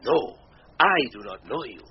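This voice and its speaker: A man's voice: medium pitch, very animated, measured pace, vocal fry. A man playing a cheeky court fool in a classical radio play, sarcastic and theatrical as he teases. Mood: mocking. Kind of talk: classical radio drama acting. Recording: muffled radio recording, faint noise.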